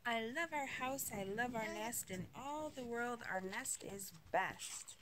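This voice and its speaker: A woman voicing an earnest male bird, a devoted husband in a children's storybook, with simple expressive read-aloud delivery. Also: on the high side, takes it slowly. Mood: joyful. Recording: noisy home recording with clicks.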